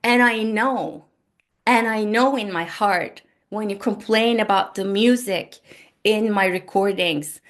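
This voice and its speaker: Woman, slow unhurried delivery, middling pitch, earnest and gentle, repeats phrases for emphasis. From Turkey. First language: Turkish. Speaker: spiritual healer and podcast host. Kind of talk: spiritual monologue to listeners. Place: home. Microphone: microphone on a laptop.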